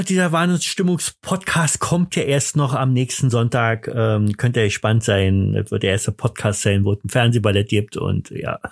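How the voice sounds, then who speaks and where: A man hosting a podcast in casual chat, halting and repeating words as he searches for phrasing. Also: mid-range voice, no echo, clean studio sound. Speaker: podcast host.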